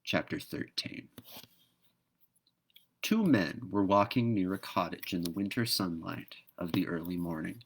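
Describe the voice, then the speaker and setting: A man reading a children's book aloud as an expressive narrator. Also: low-pitched, a little slow, slightly rough. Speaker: storybook reader.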